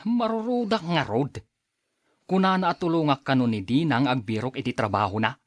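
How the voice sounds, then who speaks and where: A man's voice: medium pitch, expressive, normal speed, no room sound, clean studio sound, close to an everyday voice. A man playing an irritable, grudging younger brother with an office career in an Ilocano radio drama. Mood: annoyed.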